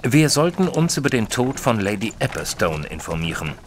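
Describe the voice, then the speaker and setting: An actor playing a detective's earnest, puzzled companion, narrating in the first person in a German audio drama in a measured, literary manner. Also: male voice, on the low side, big pitch swings, noisy background. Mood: earnest, curious. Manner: measured, formal, literary storytelling.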